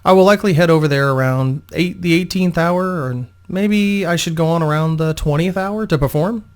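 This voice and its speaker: A man's voice: on the high side, moderate pace, pitched above the performer's own voice, lightly acted. A man voicing a young female opera singer, polite, grateful and slightly anxious, in a storybook reading. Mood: polite, modest.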